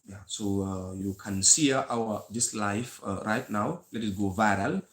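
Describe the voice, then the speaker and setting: A man from the Gambia with a Gambian English accent, hosting a live history talk in an earnest lecturing way, with frequent pauses and restarts. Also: fairly low pitch, a bit bright. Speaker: host of a history channel. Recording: echoey room sound.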